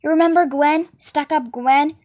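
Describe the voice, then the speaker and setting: A woman's voice: high-pitched, fairly even intonation, normal speed, a little mellow, no echo, clean studio sound, close to an everyday voice. A woman voicing a brash, spiteful storybook woman who gossips and goads in a slangy, taunting way. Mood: gossipy, mocking.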